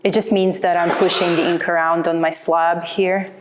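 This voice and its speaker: A woman's voice: on the low side, little pitch movement, normal speed, background noise. A woman from Croatia, an artist speaking thoughtfully and earnestly about her printmaking in a documentary interview. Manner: thoughtful, earnest, explains with care.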